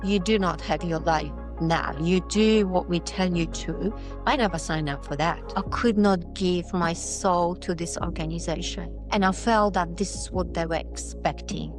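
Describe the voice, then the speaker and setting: A middle-aged woman with a Polish accent, speaking Polish as her first language, is a guest telling her life story in a thoughtful, halting way over a video call. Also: fairly low pitch, over music. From Poland. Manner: thoughtful, halting, with restarts and fillers. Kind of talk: personal story interview.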